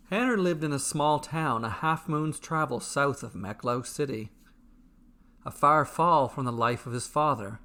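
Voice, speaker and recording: A man narrates a fantasy story from a script. His mid-pitched voice has a bright, forceful edge. He phrases deliberately and dramatically, in short measured units.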